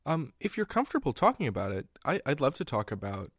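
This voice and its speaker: A man's voice: medium pitch, huge pitch swings, quick pace, slightly rough, no room sound, clean studio sound. A man hosting a casual interview, feeling his way into sentences and repeating his first words. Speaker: podcast interviewer.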